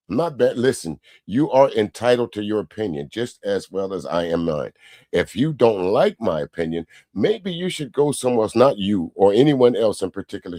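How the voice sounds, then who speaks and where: A man's voice: on the low side, dark tone, no echo. Man host, dry close sound, argumentative. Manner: argumentative, rhetorical questions. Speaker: livestream host. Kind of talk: casual live commentary, replying to viewer comments.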